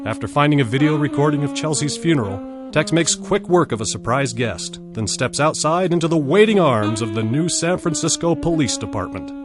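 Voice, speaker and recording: A man's voice: on the high side, lively intonation, brisk, over music. A man delivering a dramatic, scripted recap as the announcer of a radio drama.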